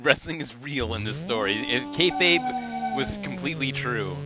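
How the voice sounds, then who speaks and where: A man's voice: medium pitch, very animated, moderate pace, rough recording. A man giving casual, sarcastic commentary as a co-commentator on a stream. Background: loud music.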